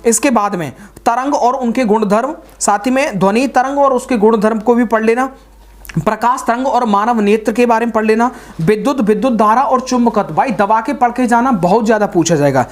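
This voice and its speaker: A woman's voice: on the high side, brisk. A woman giving informal exam coaching, speaking directly to students and repeating points for emphasis. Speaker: exam-prep teacher.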